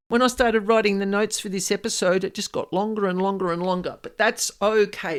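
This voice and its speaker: Animated woman hosting a solo podcast. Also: mid-range voice. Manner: conversational, addresses listener directly with rhetorical questions. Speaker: podcast host and paranormal specialist. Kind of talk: solo podcast talk, explaining to listeners.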